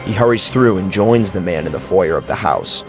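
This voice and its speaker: A man with a gravelly voice narrating a horror story in a dramatic, scripted style. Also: deep voice, expressive, moderate pace. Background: clear music.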